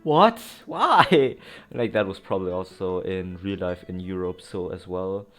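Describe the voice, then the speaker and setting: A man's voice: low-pitched, animated, measured pace, fairly bright tone. A man giving casual gameplay commentary as a let's player, reacting and repeating himself. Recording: game music behind the voice.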